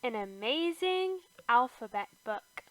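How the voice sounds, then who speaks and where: A woman reads an alphabet picture book aloud for toddlers, her high voice rising on each capital letter and sinking to a near hush on the repeats. She takes everything very slowly, with lots of space between words. The sound is close and dry, with no room around it. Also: big pitch swings, no echo, rough recording. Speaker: children's book reader. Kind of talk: slow read-aloud for toddlers.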